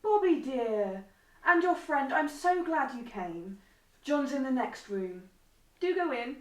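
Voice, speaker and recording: A woman's voice: high voice, very animated, slow, heavily acted, poor audio. A woman playing a kindly, welcoming mother in an audio drama, genteel and a little fussing.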